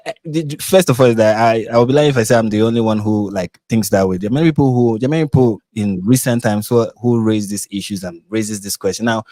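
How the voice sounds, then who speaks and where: Nigerian male host, animated, stumbles and restarts, dry close sound. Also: no echo. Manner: animated, many restarts, pitch climbs when stressing a point. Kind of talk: live stream discussion.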